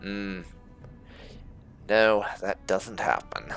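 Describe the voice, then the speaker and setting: Casting: male gaming host, very low gravelly voice, wry and musing casual commentary over game music. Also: extremely slow, fairly bright tone.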